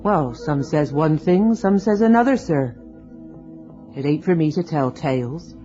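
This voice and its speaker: A woman's voice: low voice, expressive, measured pace, mellow, soft background music. Old charwoman: servile, hinting, superstitious. Dull lo-fi radio recording. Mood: ominous, wary. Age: older. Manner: deferential, hinting, rustic turns of speech. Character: gossipy, foreboding old English charwoman, deferential and superstitious. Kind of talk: radio drama acting.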